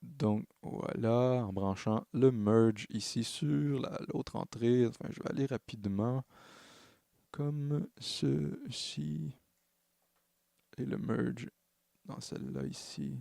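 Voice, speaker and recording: A man narrating a software tutorial as a music production tutor, explaining in a halting way and correcting himself as he goes. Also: medium pitch, creaky, no echo, clean studio sound. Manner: hesitant, halting phrasing with self-corrections.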